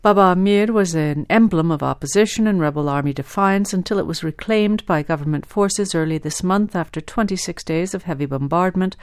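A woman's voice: lowish voice, brisk, few pauses, smooth, dry recording, clean studio sound. A woman presenting international radio news in a scripted reading style.

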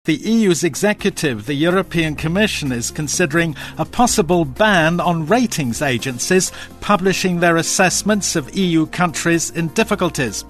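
Higher-pitched male news presenter. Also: a bit bright. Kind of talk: scripted news reading.

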